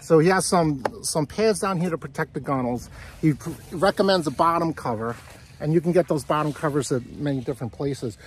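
A man's voice: on the high side, a little fast, slight background noise. A middle-aged man hosting a casual outdoor interview, talking enthusiastically while filming on a handheld phone in a park. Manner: enthusiastic, asks leading questions. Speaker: sailing vlogger interviewing a guest. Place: outdoors on a grassy park by a lake. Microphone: handheld phone at arm's length.

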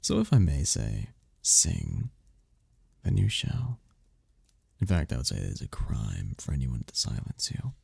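Guy doing a husky demon prince for asmr roleplay, slow teasing purr, really creaky, bossy and flirty. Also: very deep voice, wildly swooping pitch, a little mellow, dry recording, heavily acted. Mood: proud, commanding. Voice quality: gravelly, husky, often breathy whisper. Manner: slow, purring, theatrical, commanding.

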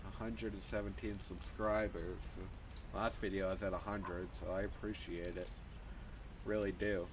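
A young man with a very low voice chats easily to his viewers, drifting through loose sentences with fillers. He stands a few steps from the camera, so he sounds distant and thin. Steady outdoor noise runs under everything. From Pennsylvania. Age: young adult. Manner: relaxed, rambling, with fillers and restarts. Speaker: fishing channel host. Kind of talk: casual talk to camera. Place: outdoors by a wooded pond. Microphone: camera on a tripod a few steps away.

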